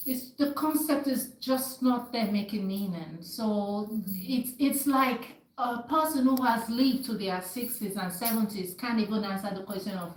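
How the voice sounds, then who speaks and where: A woman hosting a community discussion and storytime, speaking emphatically and repeating words as she builds her points. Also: medium pitch. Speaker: host. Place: indoor group gathering. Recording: echoey room with faint noise.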